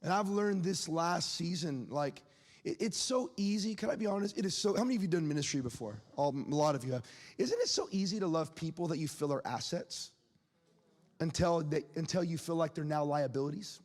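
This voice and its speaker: A man's voice: fairly high pitch, expressive, a little fast, in a large hall. A man in his late twenties preaching a sermon, speaking candidly and conversationally, with laughs and asides to the crowd. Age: 20s.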